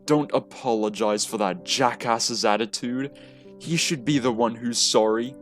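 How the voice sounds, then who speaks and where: A man's voice: mid-range voice, unhurried, soft background music, lightly acted. A man voicing a sardonic, short-fused teenage schoolboy in a visual novel, blunt and sarcastic. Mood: dry, angry.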